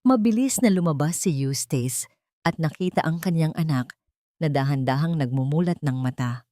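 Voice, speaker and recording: A woman with a slightly low voice narrates an audio story. Her delivery is scripted and dramatic, and the recording is close and dry. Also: normal speed, warm tone, no echo.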